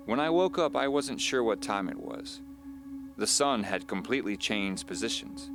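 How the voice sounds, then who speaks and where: A man playing an uneasy man recounting his own mystery in measured, confiding, scripted audio drama narration. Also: low-pitched, gravelly. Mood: weary, confiding.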